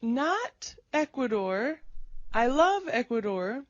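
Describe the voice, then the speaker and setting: A woman talks slowly and clearly through an easy conversation for learners, asking plain questions. The recording is dull and lo-fi, dry and close. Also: fairly high pitch, sing-song, dry recording. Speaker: conversation partner in English lesson. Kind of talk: slow, simple conversation for learners.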